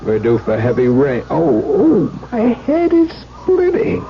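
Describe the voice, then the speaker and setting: Groggy, swooping drunken gentleman. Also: a little high, wildly swooping pitch, slow, full character voice. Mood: groggy, dismissive. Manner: groggy, blustering. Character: hearty, hungover drunken gentleman cousin, dismissive. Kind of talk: 1970s radio drama acting. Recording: dull, noisy 1970s radio recording.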